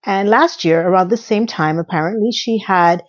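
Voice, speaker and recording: A woman's voice: mid-range voice, normal speed, lo-fi sound. Chatty gossiping woman in her fifties. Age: 50s. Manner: chatty, gossipy, with asides and little sung quips. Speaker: YouTube commentator. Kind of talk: casual chatty commentary.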